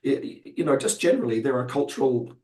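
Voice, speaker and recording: Casting: croaky, hesitant man from New Zealand on a video call, echoey room. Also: medium pitch, fast, a little mellow. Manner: hesitant, many fillers and restarts. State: croaky, recovering from illness. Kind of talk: webinar interview answers.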